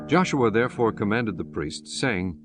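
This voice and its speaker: A man narrating scripture for an audiobook in a solemn, measured and formal reading style. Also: lowish voice, sing-song, soft background music.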